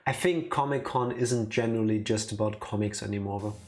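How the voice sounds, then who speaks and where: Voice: medium pitch, fairly quick, a little mellow. A streamer in casual conversation before a game stream, with an opinionated, playfully teasing way of talking. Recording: music playing behind the voice. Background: music.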